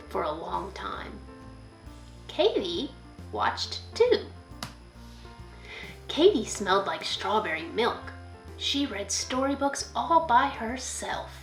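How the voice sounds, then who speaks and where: A woman reading a children's picture book aloud as a school librarian, expressively, pausing to put questions to young listeners. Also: medium pitch, fairly bright tone, reverberant.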